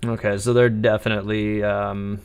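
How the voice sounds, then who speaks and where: A man's voice: fairly low pitch, flat delivery, moderate pace, smooth. A man giving casual live stream commentary on a game, chatty and rambling.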